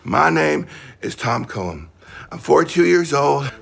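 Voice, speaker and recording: A man's voice: fairly high pitch, big pitch swings, unhurried, creaky, fairly bright tone, husky, faint music behind, heavily acted. An actor playing a childlike, simple adult man who speaks earnestly and repeats himself, in a TV drama clip.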